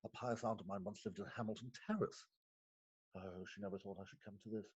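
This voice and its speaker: An actor playing a flustered, guilty, respectable magistrate in a theatrical comic reading, stammering and hesitant with a husky voice. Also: male voice, lowish voice, fairly even intonation, quick pace, a little mellow. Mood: dazed, rueful. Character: flustered, guilt-ridden, respectable London magistrate, disheveled after a night out. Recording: dull lo-fi remote recording.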